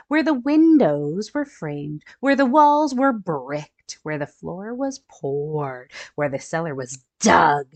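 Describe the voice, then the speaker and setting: A woman hosts a children's storytime, reading a picture book aloud in an expressive, sing-song manner and chatting to her young listeners. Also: lowish voice.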